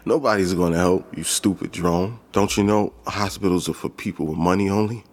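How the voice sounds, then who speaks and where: A man playing a sick, suspicious, bitter patient in an audio drama, husky and hostile. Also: sits low, fairly quick. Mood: suspicious, scornful. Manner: hostile, scornful. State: sick, coughing.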